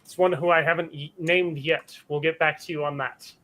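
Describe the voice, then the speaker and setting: Male GM, higher-pitched, easygoing, narrates the scene casually. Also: moderate pace, crowd noise. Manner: relaxed, casual narration with fillers. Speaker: game master. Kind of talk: narrating a tabletop game.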